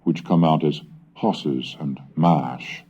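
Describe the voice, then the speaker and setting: A man giving a measured, deliberate reading of an old diary aloud, slowing on the dates. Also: a bass voice, fairly even intonation, warm tone. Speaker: reader of historical texts. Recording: dull lo-fi 1970s cassette recording.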